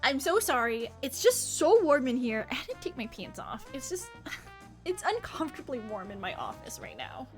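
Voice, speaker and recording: A woman with a high voice chats informally on a game livestream. She rambles along expressively, speaking straight to her viewers. Faint music plays behind her. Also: very animated, moderate pace. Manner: chatty, rambling, talking to viewers. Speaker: live game streamer. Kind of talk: casual livestream chat. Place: indoor home office.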